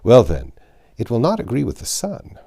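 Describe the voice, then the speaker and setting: A man voicing a shrewd, suspicious detective in a storybook, politely prodding with measured questions. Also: mid-range voice, huge pitch swings, creaky, mellow, pitched above the performer's own voice, full character voice. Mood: helpful, patient. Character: shrewd, suspicious male detective, probing slyly.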